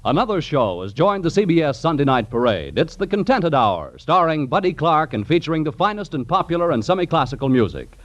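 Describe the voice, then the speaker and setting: A man making scripted announcements as a 1940s radio network announcer. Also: fairly high pitch. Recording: muffled vintage radio recording. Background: faint music.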